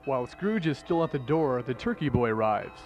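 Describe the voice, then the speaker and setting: A man's voice: medium pitch, animated, fairly quick, darkish tone, faint music behind. A man giving scripted narration for a radio drama.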